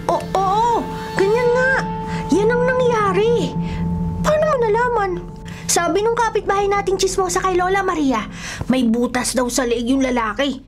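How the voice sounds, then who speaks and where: A woman's voice: very high voice, moderate pace, music in the background. A woman playing a gossipy, skeptical young boy, someone's best friend, in a radio drama.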